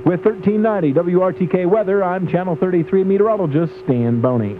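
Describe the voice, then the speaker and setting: A man's voice: high voice, fast. A man giving a scripted radio weather report as a forecaster. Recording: tinny AM radio with clear hum.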